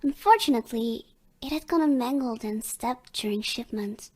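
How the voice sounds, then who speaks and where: A woman's voice: on the high side, moderate pace, a little mellow, slightly rough. A woman voicing a bubbly young female digital pop idol in a video game, with a perky, cute, theatrical delivery. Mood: playful, matter-of-fact. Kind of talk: video game character dialogue.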